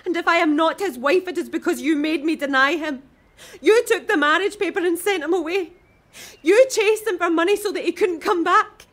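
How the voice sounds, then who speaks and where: An actress playing a distressed but defiant young Scottish daughter, impassioned and pleading in a radio play. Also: female voice, extremely high pitch, normal speed. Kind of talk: radio drama acting.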